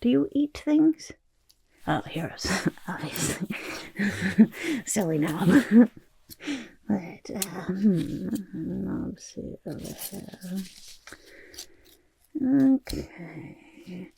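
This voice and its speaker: A woman voicing a chatty, eager little goblin portrait artist in an ASMR roleplay, half-whispering with a raspy, breathy, fussy and coaxing delivery. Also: mid-range voice, expressive, extremely slow, long pauses, warm tone, a little hiss or hum, full character voice. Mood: curious, covetous. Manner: speaks in third person, hesitant, fussy, coaxing.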